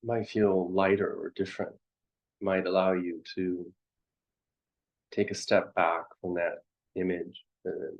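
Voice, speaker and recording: Low, slow, monotone man guiding meditation. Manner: contemplative, halting short phrases with long pauses. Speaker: meditation teacher. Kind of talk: guided meditation talk. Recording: dull, muffled lo-fi recording.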